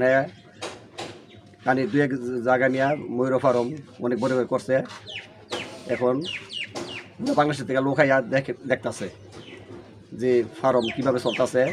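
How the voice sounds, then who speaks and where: A man's voice: medium pitch, background noise. A man making casual interview remarks. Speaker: local villager being interviewed. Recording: loud music, birds calling behind. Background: music and bird calls.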